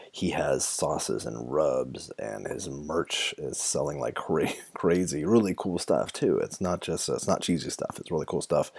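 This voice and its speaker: A man's voice: very deep voice, vocal fry, darkish tone, husky, dry recording. A man hosting a podcast in a casual, conversational interview style. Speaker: podcast host.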